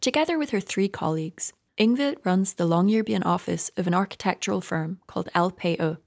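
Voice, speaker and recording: A woman with a fairly low voice hosts a podcast. She narrates and asks questions in a measured, clear manner. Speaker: podcast host and interviewer.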